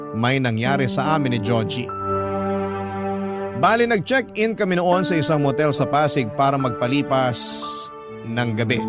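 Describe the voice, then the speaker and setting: A Filipino man reading a first-person love story as a radio host, in scripted narration. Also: medium pitch. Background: loud music under the voice.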